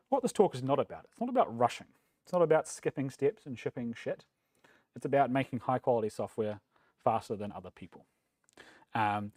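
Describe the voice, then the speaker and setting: A man from New Zealand with a New Zealand accent, giving a relaxed, self-deprecating talk at a developer meetup, with plenty of ums and uhs. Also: mid-range voice, no room sound. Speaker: conference speaker. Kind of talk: casual tech meetup talk. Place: meetup room.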